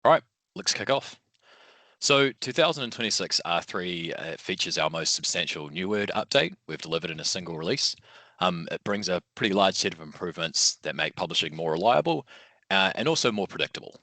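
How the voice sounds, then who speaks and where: A man hosting a software webinar in a relaxed, casual way. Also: deep voice, brisk, no echo. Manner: casual, easygoing, loose phrasing. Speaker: documentation manager, webinar host. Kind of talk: relaxed presenting.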